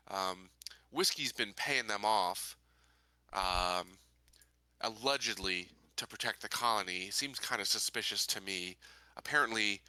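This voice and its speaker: A man playing a tabletop roleplaying game, talking casually and deliberately with frequent hesitant pauses. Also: fairly low pitch, bright, forceful tone, no echo, poor audio. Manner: deliberate, hesitant, pausing often.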